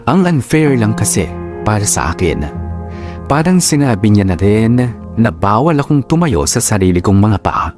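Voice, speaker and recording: A man's voice: on the low side, very animated, moderate pace, darkish tone. A man with a Filipino accent narrating a letter in a dramatic scripted style for a Tagalog radio drama. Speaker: narrator reading a listener's letter. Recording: piano music under the voice.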